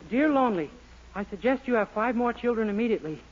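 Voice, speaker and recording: A man's voice: high voice, animated, quick pace. An actor playing a naive, earnest young man with an innocent, guileless manner in a 1940s radio sitcom. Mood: reciting earnestly. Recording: muffled, noisy old radio recording.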